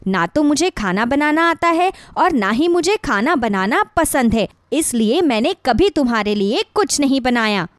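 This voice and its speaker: A woman's voice: high voice, big pitch swings, moderate pace, few pauses, dry recording, studio quality. A woman playing a selfish, lazy, blunt college-age daughter in a moral story. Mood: defensive. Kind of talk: acted dialogue in a moral story.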